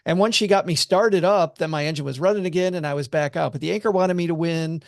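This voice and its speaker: A man telling a personal story as a podcast guest, earnest and animated. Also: fairly high pitch, quick pace, gravelly, dry recording, clean studio sound. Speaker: podcast guest, money commentator. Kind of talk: casual storytelling chat.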